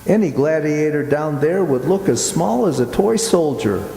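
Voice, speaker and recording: A man acting an uneasy, imaginative gentleman in an amateur radio drama. His fairly high voice swings widely in pitch and hesitates as he muses. It all rings through an echoey hall. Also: expressive, gravelly. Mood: musing, playful. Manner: hesitant, halting, musing. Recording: echoey hall with long reverb.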